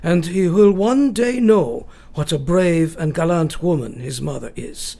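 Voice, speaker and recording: Man acting a creaky, solemn older professor. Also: on the high side, unhurried, dark tone. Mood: fond, reflective. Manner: formal, solemn, old-fashioned phrasing. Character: grave, resolute older male professor and vampire hunter. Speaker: actor. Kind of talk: radio drama acting.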